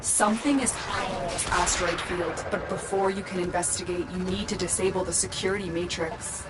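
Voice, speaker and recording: A woman's voice: lowish voice, steady tone, very noisy, lightly acted. Commanding sci-fi mission guide played by a woman. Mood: composed, directing. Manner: measured, directive, serious. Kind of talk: scripted game mission briefing. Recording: game music behind the voice.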